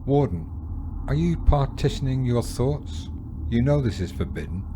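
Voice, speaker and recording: An actor playing a stern, commanding director in a science fiction audio drama, speaking formally and with authority. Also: male voice, medium pitch, expressive, moderate pace, background noise, natural voice.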